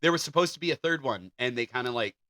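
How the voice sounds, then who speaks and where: A man with a bright, forward voice at a middle pitch. He sounds like a podcast co-host, switching between entertainment news copy and loose chat, and the sound is dry and close. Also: expressive, fast talker, dry recording. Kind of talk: entertainment news read-out mixed with casual banter.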